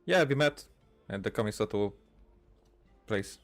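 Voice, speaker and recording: A man giving casual let's-play commentary, musing aloud in a halting, hesitant way. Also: on the low side, expressive, music in the background. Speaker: gaming video host.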